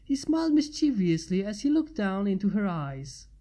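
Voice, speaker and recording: A woman's voice: mid-range voice, sing-song, moderate pace, warm tone. A woman reading fan fiction aloud as a narrator.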